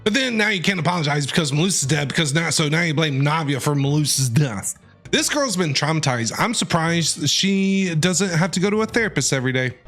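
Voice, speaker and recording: A man's voice: fairly high pitch, lively intonation, brisk, fairly bright tone, soft background music. A man livestreaming a game, reacting in casual, blunt slang and often repeating himself. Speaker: game streamer.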